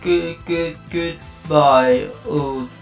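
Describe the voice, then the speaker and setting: A man playing a hapless robot henchman in an audio drama, speaking in a halting, deadpan way. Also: a little high, reverberant, far from the mic, soft background music. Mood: fading. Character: hapless robot henchman, male, dry and sad.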